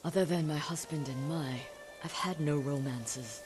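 An actress playing a stern, grieving older noblewoman of a samurai clan in a video game, speaking gravely and with command. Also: low-pitched, a little slow, music in the background. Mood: grave, resolute. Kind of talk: dramatic video game acting.

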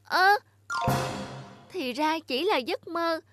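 A woman voicing a fickle, whiny young schoolboy in a children's cartoon, childlike and expressive. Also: extremely high pitch, drawn-out words, faint music behind, full character voice. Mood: earnest. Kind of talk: Vietnamese children's cartoon dubbing.

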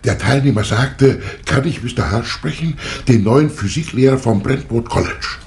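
A man's voice: medium pitch, moderate pace, natural voice. An actor playing a hesitant man passing on telephone calls in a noisy old 1960s German radio crime drama recording. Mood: hesitant, puzzled. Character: hesitant man answering and relaying phone calls.

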